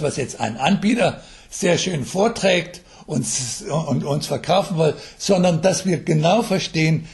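An older man with a slightly high voice gives explanatory interview answers. He speaks haltingly and mixes English jargon into his sentences. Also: a little mellow. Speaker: mobile health expert being interviewed. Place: telephone interview.

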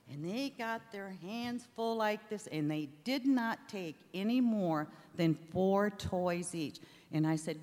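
A woman's voice: mid-range voice, a little mellow. An older woman on a theater stage, telling a heartfelt story to an audience at a stand mic and acting out the voices in it. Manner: storytelling, acts out quoted voices. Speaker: charity director. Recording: reverberant hall with audience.

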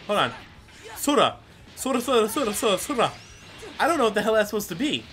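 A man hosts a casual gaming video, rambling as he thinks aloud. His voice is high and animated, with a little music behind it. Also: fast talker. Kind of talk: casual let's play commentary.

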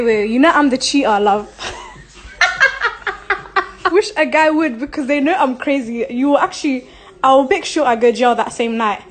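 Blunt, high-voiced young woman on a phone livestream. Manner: blunt, profane, laughing, reads comments aloud. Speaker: social media personality answering viewer questions. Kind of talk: casual livestream Q&A chat. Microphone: phone held close, selfie framing.

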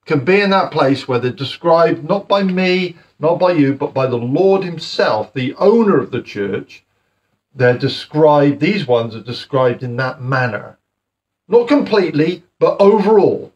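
A man's voice: a little high, darkish tone. Brit guy preaching at home, jokey and chatty, swoops up and down a lot, a bit muffled from a camera across the room. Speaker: house church preacher. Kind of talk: informal home sermon. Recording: slightly muffled home video.

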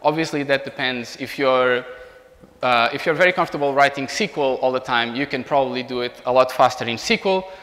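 A man in his thirties with a Portuguese accent, giving an informal technical presentation as a software engineer. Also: medium pitch, fairly quick. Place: indoor meetup room beside a large screen. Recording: reverberant hall sound.

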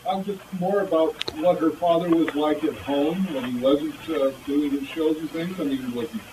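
A man in the audience at a club meeting asks his questions in a hesitant way, stumbling over fillers. His voice is on the higher side, and clear room noise sits behind him. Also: moderate pace. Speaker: audience member asking questions.

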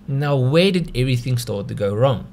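A man's voice: medium pitch, expressive, moderate pace, vocal fry, a little mellow. South African man narrating over background noise. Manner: conversational, rhetorical questions. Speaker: video host. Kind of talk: scripted narration for an explainer video.

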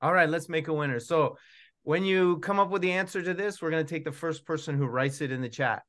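A man's voice: a little high, a little fast, a bit bright. Middle-aged man hosting casually. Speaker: children's author and puppeteer hosting his book launch. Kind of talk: casual joking event chat. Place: room at home, on a video call.

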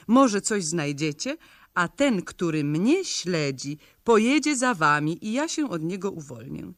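A woman's voice: mid-range voice, no room sound, studio quality. An actress playing a spirited, teasing young woman in a 1970s radio play, with a pointed and slightly ironic delivery.

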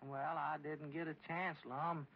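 A man's voice: a little high, flat delivery, unhurried, slight background noise, close to an everyday voice. An actor playing a meek, simple, dutiful small-town man with a rural Southern accent, reporting earnestly and hesitantly in a 1940s radio comedy. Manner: deferential, literal, hesitant. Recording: muffled old radio recording.

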